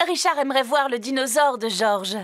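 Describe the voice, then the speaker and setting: A woman voicing a gentle, patient rabbit mother in a French children's cartoon dub, speaking coaxingly. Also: high voice, moderate pace, noisy background, lightly acted.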